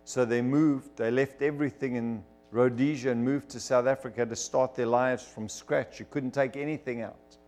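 A man's voice: medium pitch, steady tone, normal speed, hardly any pauses, a little mellow, faint music behind. A middle-aged man from Zimbabwe, preaching a sermon as emphatic storytelling with bursts of fervor. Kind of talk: sermon testimony to a congregation.

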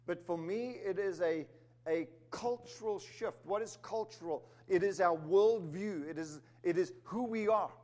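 A man's voice: sits high, measured pace, darkish tone. A man from Zimbabwe, raised in Africa, preaching an emphatic sermon with humorous asides. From Zimbabwe, raised in South Africa. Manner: emphatic, rising to stress key words, with humorous asides.

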